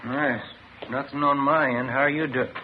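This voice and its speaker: An actor playing a plain-spoken, puzzled police detective in a 1950s radio drama, with a folksy, understated delivery. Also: male voice, mid-range voice, steady tone, moderate pace, close to an everyday voice. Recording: noisy, dull vintage 1950s radio recording.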